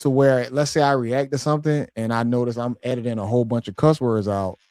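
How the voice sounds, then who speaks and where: A man's voice: medium pitch, fairly even intonation, fairly quick, a little mellow, no room sound. Male guest on a live chat, dry close sound, rambling and agreeable. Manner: rambling, restarts and fillers, agreeable "yeah". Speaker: co-host guest. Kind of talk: casual chat.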